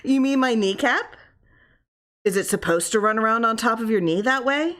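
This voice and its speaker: A woman's voice: on the high side, lively intonation, normal speed, a bit bright, pitched above the performer's own voice. A woman voicing a playful, affectionate wife in a story, light and teasing in manner. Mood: amused.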